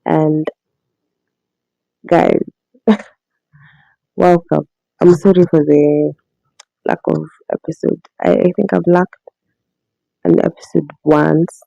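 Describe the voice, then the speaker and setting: A young woman with a Kenyan accent, her voice gravelly and husky from just getting out of bed. She speaks softly and meanders through her thoughts, laughing often. The tone is very dark and the recording dry and slightly dull. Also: fairly low pitch, no room sound. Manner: soft-spoken, rambling, laughs often. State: raspy, just woken up. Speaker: podcast host. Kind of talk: casual diary-style monologue.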